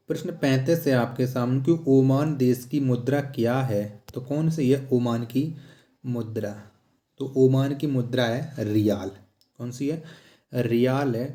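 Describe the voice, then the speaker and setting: Guy doing exam prep quiz, mellow voice, repeats stuff like a teacher, echoey recording. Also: medium pitch. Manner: didactic, repeats questions and answers. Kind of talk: quiz lesson, question-and-answer explanation. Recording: echoey, roomy sound.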